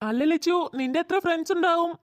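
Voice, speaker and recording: A performer voicing a good-natured family man in a cartoon, with a pitched-up, childlike sound. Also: fast talker, no room sound, studio quality. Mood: calm. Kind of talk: Malayalam family cartoon dialogue.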